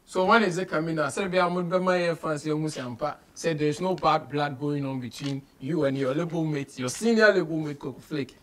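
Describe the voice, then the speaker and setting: Male interviewer, Ghanaian accent, slightly high pitch, casual code-switching studio chat. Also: normal speed, hardly any pauses, fairly bright tone. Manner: mixes English with local slang and phrases. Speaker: radio show host, interviewer. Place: small radio studio office, indoors.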